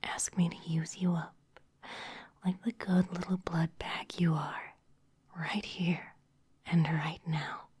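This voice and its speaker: A woman's voice: on the low side, level tone, slow, long pauses, a little mellow, husky, heavily acted. A woman playing a seductive, domineering vampire office boss in an ASMR roleplay, whispering breathily in a teasing, commanding manner. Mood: commanding, hungry.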